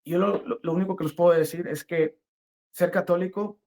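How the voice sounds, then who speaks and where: A middle-aged man giving an earnest, spontaneous personal religious testimony, speaking haltingly and repeating phrases for emphasis. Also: high voice, steady tone, darkish tone.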